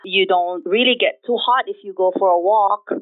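A woman's voice: medium pitch, normal speed. A middle-aged woman from the Philippines with a Filipino accent, answering conversationally as an interview guest. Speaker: interview guest, director of nursing. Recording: tinny phone line.

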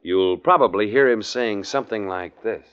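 A man's voice: lowish voice, normal speed, a bit bright, clear, resonant tone. A polished, salesmanlike man announcing and advertising in 1940s radio style, on a muffled vintage broadcast recording.

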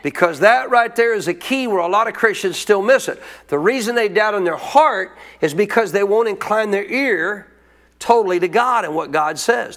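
A man's voice: high voice, fairly bright tone. A man preaching a sermon as pastor, teaching in a colloquial call-and-response manner.

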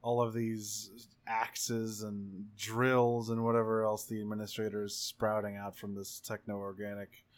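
A man narrating improvised action in a tabletop roleplaying game, halting as he thinks aloud, with dramatic flourishes. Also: fairly low pitch, level tone. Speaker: tabletop game player narrating his character.

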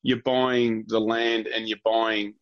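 Aussie guy explaining stuff in an interview, deepish, stop-start, bit muffled. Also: flat delivery, dry recording. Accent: Australian. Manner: halting, rephrases as he explains. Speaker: guest real estate director. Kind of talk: informal explanatory interview answers.

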